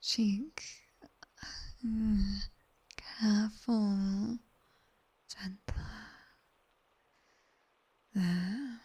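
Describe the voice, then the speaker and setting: Woman acting British female demon tutor, breathy whisper, mellow, unhurried, sharp-tongued, strict, teasing, ASMR roleplay. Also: mid-range voice, exaggerated voice. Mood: exacting, teasing. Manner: slow, measured, commanding.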